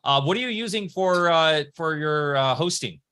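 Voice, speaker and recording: A man's voice: a little high, expressive, moderate pace, sharp tone, clear, resonant tone. A man hosting a podcast, talking casually and rambling, often restarting and correcting himself mid-sentence. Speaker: podcast host. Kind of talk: casual conversation.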